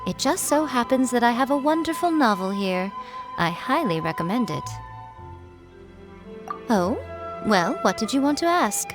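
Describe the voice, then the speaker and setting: An actress voicing a sly, teasing shrine priestess in a video game, speaking in an amused, unhurried way. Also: female voice, fairly high pitch, big pitch swings. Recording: game audio with faint music.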